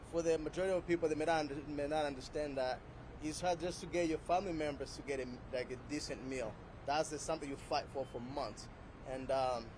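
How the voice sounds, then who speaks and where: Brief: halting, earnest man at a street rally, mid-pitched, clear traffic hum behind. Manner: earnest, halting, many fillers. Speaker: son of a detainee speaking at a press event. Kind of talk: unscripted public remarks. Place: outdoors on a city street. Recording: outdoor street noise.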